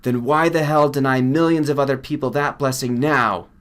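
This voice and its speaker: A man's voice: medium pitch, steady tone, moderate pace, pitched above the performer's own voice, natural voice. Brusque, insistent scientist, played by a man. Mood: impatient. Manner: snappy, insistent, forceful. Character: brusque, impatient, pushy male research partner.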